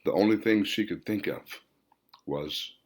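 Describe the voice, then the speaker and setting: A man reading his own novel aloud as a dramatic audiobook, phrasing deliberately and drawing out words for emphasis. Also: deep voice. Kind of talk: dramatic scripted audiobook narration.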